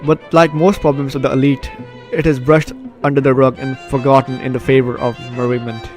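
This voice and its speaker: A man's voice: medium pitch, flat delivery, fairly quick. A man hosting a casual let's play, chatting and reading a story game's text aloud. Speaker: gaming video host. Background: game music.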